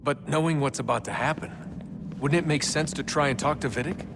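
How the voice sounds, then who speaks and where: A man's voice: fairly high pitch, fairly quick, creaky, background noise. An actor playing an earnest, doubtful young man in a video game, with a hesitant, searching way of talking. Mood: questioning. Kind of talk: video game cutscene acting.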